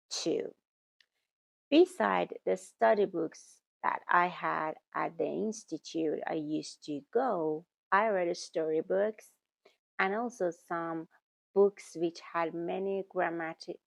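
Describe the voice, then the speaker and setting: A woman speaks to her viewers in a slow, deliberate way, often repeating words and correcting herself. Her voice sits fairly low and has a gravelly texture. The recording is close and dry. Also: dry recording. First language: German. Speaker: language-learning YouTuber. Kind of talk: talking to camera, giving tips.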